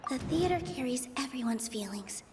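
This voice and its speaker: High, echoing young woman's thoughts. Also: expressive, moderate pace. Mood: reflective. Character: anxious, determined young woman thinking to herself. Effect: long reverb, as inner thoughts. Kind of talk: scripted game inner monologue. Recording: long reverb, clear background noise.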